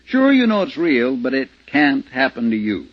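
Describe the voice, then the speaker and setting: A man's voice: mid-range voice, sing-song, normal speed. An actor playing an ordinary middle-aged American family man in a 1950s radio drama, with a folksy, plain-spoken delivery. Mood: wry, grim. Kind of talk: 1950s radio drama acting, first-person narration and dialogue. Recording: muffled, noisy 1950s radio recording.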